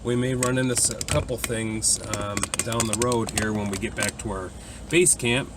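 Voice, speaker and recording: Low-voiced man vlogging casually. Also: steady tone, normal speed, background noise. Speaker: travel vlogger and host. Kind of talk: casual vlog talk.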